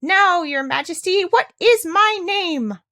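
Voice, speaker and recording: A woman voicing a scheming little male mannikin from a fairy tale, shrill and theatrical. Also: lively intonation, slow pace, sharp tone, dry recording, clean studio sound, heavily acted. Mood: gleeful. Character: gleeful, scheming little old mannikin, quick to rage.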